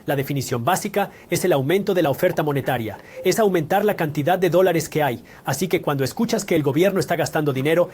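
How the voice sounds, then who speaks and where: A man's voice: a little high, darkish tone, a little hiss or hum. A man hosting a scripted finance explainer, talking straight to the viewer and posing questions he then answers. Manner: direct, asks questions and answers them. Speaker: personal finance educator.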